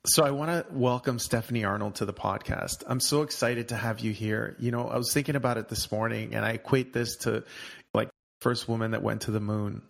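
A man's voice: medium pitch, steady tone, brisk, creaky. A man hosting a podcast, enthusiastically introducing and questioning his guest. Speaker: podcast host. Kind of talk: podcast interview.

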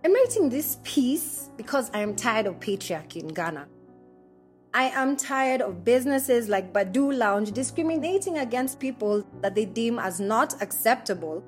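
A woman reading a personal essay aloud in the first person, with a measured, indignant delivery. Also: fairly high pitch.

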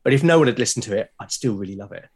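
A British man talking as a podcast guest, explaining his creative process in a reflective, halting way. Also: fairly low pitch, lively intonation, darkish tone, husky. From Britain. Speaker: podcast guest, creator of a solo role-playing show.

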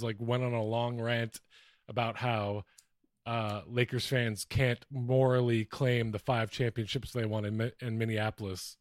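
A man's voice: mid-range voice, no room sound, clean studio sound. Joking man hosting a casual sports podcast. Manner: joking, crude. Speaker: podcast host.

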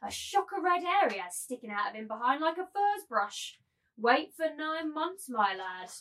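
A woman's voice: sits high, big pitch swings, normal speed, a bit bright. A woman playing a cruel, domineering brothel keeper and auctioneer in a dramatised literary reading, commanding, taunting and theatrical. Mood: scornful, ruthless.

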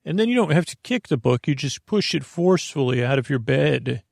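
A man with a gravelly, fry-laden voice delivers a whimsical bedtime podcast monologue in a very dry, close recording. He meanders, stops and restarts, interrupts himself and chats to himself as he goes. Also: on the high side, animated, darkish tone, no room sound. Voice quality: creaky, gravelly. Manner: halting, meandering, self-interrupting, talks to himself. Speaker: podcast host.

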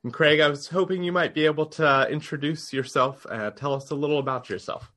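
A man's voice: on the high side, fairly quick, bright, forceful tone. A man co-hosting a podcast, talking in an earnest, enthusiastic way. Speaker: podcast co-host. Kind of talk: casual podcast conversation.

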